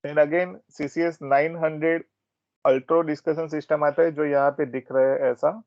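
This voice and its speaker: A man's voice: on the high side, little pitch movement, normal speed, a little mellow, no echo. A man with an Indian accent giving an informal, explanatory training presentation, mixing Hindi and English. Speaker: technical product trainer at a systems company. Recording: dull, band-limited close audio.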